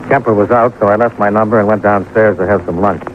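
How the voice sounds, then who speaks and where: Male radio-detective lead, acted: lowish voice, terse and businesslike, on a noisy, narrow-band 1950s broadcast recording. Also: steady tone, fast talker, natural voice. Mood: dry narration. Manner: clipped, matter-of-fact questioning. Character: businesslike male insurance investigator, terse and persistent. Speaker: lead actor and narrator. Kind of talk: 1950s radio detective drama acting, first-person narration.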